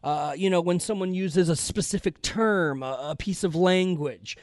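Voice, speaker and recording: A man's voice: high voice, normal speed, no room sound, studio quality. A man co-hosting a talk show, earnestly explaining his point of view at length. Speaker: co-host. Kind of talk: casual debate on a talk show.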